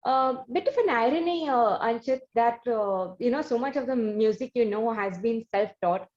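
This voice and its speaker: A woman with an Indian accent hosting an interview, putting questions in a halting, roundabout way. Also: on the high side, lively intonation, a little mellow. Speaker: interviewer and show host. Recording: dull, muffled lo-fi audio.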